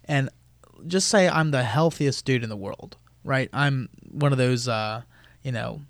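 A man explains his points as a podcast co-host in a loose, conversational way. He often throws in "dude" and "you know," and his words come out in quick bursts. His voice sits in the middle of the male range and sounds dry and close on the mic. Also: mid-range voice, creaky, no room sound.

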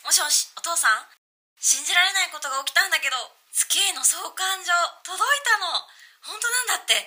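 Woman playing an earnest daughter, high bright voice. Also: heavily acted. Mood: amazed, determined. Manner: earnest, heartfelt, casual. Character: earnest young daughter phoning her father. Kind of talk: Japanese radio drama acting.

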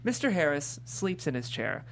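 Woman, screenplay narration, stage directions, animated pitch, table read, clear hum and noise in background. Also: moderate pace.

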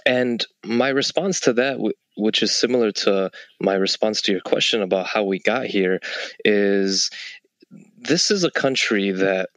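Male guest, young adult, fairly low pitch, measured conversational answers with rhetorical questions, dry recording. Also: fairly bright tone, dry recording. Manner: deliberate, builds points with repeated rhetorical questions. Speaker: interview guest, civil servant. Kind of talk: thoughtful conversational interview answer.

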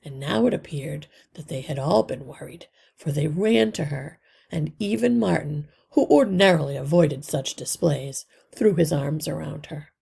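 A woman reading a children's story aloud as narrator, with careful, deliberate phrasing. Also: sits low, creaky, warm tone, husky.

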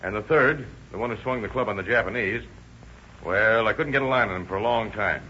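An actor playing a shrewd, confident police officer with an American accent, methodical and authoritative, in 1930s radio drama style. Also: male voice, fairly low pitch, a little fast, sharp tone. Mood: methodical, assured. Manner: methodical, authoritative, plain-spoken. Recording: dull, noisy old radio recording.

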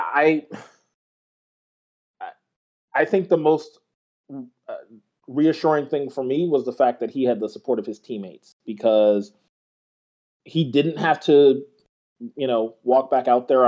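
A man's voice: mid-range voice, a little mellow. A man co-hosting a football podcast, talking bluntly and emphatically with swearing. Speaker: podcast co-host. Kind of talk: casual football podcast chat. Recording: slightly dull lo-fi audio.

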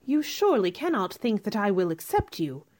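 A woman playing a proud, sharp-tongued young gentlewoman in a radio drama, with formal, cool, dramatic delivery. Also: medium pitch, big pitch swings, normal speed, close to an everyday voice. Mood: indignant.

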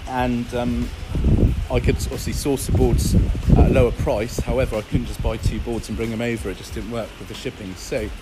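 A man in his thirties, a guest in an interview, talking casually and rambling with many fillers, his voice hoarse from a cold. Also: mid-range voice, little pitch movement, brisk, very noisy. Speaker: founder of a paddleboard company, guest. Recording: music playing behind.

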